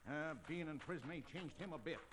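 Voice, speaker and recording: Man playing a sharp, hot-tempered western marshal. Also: on the high side, a little fast, natural voice. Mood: indignant. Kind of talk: old radio western acting. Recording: noisy vintage radio recording.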